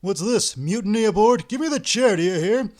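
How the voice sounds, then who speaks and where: A man voicing a brash, scheming male con man who barks orders loudly. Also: high-pitched, animated, fast talker, pitched above the performer's own voice. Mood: bossy. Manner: loud, bossy, sharp.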